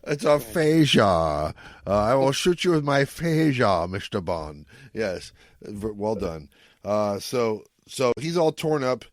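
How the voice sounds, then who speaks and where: Low-voiced man chatting as podcast co-host. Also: very animated, slow, dry recording, clean studio sound. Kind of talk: casual recap chat about retro TV sci-fi.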